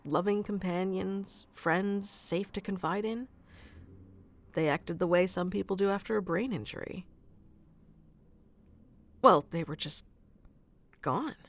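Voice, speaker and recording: A woman's voice: lowish voice, no room sound. A woman playing a sweet, nurturing AI relationship coach in an ASMR roleplay, speaking tenderly and reassuringly to one listener. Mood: somber, serious.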